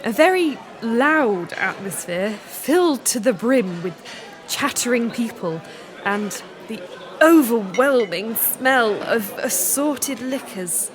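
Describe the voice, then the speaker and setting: Sheltered upper-class English young lady, Victorian radio drama. Higher-pitched, prim, formal, hesitant, with busy crowd around her. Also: lively intonation, takes it slowly, soft background music, low-quality recording. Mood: wondering. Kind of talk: period radio drama acting.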